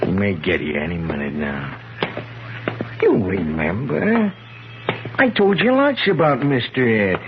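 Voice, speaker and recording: A man's voice: fairly low pitch, very animated. Simple, swooping-voiced loyal night watchman. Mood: wistful, confiding. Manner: childlike, hesitant, halting speech. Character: simple-minded, loyal, gentle man working as night watchman. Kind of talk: 1950s radio drama acting. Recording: muffled, noisy old 1950s radio recording.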